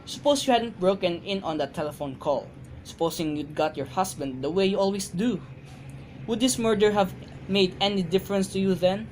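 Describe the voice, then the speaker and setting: A woman's voice: mid-range voice, normal speed, darkish tone, close to an everyday voice, low-quality recording. A woman playing a weary, dismissive police desk sergeant in an amateur radio drama, brusque and patronizing. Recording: faint background noise.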